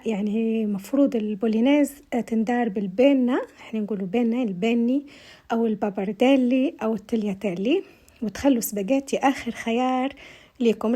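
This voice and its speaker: A woman's voice: a little high, dark tone. A woman with a Libyan Arabic accent and a tired voice, chatting viewers through a recipe step by step in a casual voice-over. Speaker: cooking vlogger.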